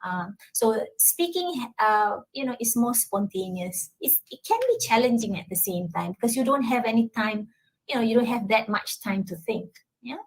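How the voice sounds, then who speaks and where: A woman's voice: on the high side, animated, moderate pace, poor audio. A woman lecturer with a Malaysian English accent, answering audience questions at a talk and checking understanding with frequent tag questions. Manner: frequent tag questions like "yeah?" and "all right?". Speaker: university lecturer.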